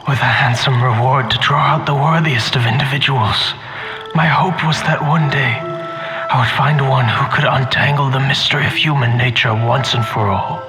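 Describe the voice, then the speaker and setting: An actor playing a grave, despairing male scholar in a game, speaking in a formal, measured, grandiloquent way. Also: medium pitch, close to an everyday voice, low-quality recording. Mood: solemn, resolute. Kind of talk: dramatic game voice acting. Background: faint background music.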